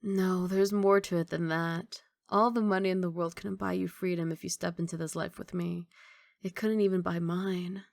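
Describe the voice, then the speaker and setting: Low, creaky-voiced, tender mafia boss woman roleplaying. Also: little pitch movement, normal speed, no echo. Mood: guarded, matter-of-fact. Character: guarded, tender female mafia boss, secret long-distance girlfriend. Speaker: ASMR roleplay voice actor. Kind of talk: intimate ASMR roleplay monologue.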